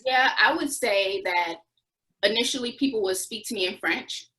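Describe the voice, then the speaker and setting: A young American woman in a conversational interview, with a middle-pitched voice. She recounts her experiences as a former volunteer and slips into quoted dialogue in an animated way. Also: normal speed, rough recording. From United States. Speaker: interview guest, former Peace Corps volunteer.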